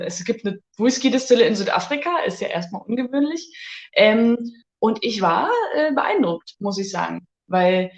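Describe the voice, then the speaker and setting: A woman co-hosting a casual livestream tasting, lively and chatty. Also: medium pitch, echoey room. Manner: lively, chatty, laughs easily. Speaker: co-host.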